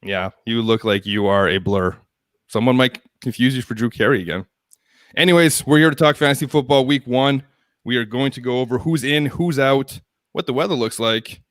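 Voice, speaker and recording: A man's voice: mid-range voice, no room sound. Dry-sounding young man co-hosting. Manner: upbeat, brisk show-running, teasing. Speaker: co-host. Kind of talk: casual fantasy football chat.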